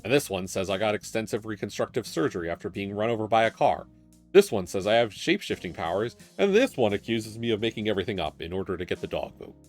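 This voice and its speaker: A man's voice: fairly low pitch, expressive, fast, faint music behind. A man voicing an earnest, exasperated dog politician in a visual novel, indignant and complaining.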